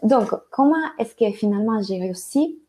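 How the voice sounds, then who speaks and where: Italian-accented woman coach, mellow tone. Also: mid-range voice, expressive, moderate pace. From Italy. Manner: hesitant, many fillers and restarts. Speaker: life and business coach. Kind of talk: webinar presentation.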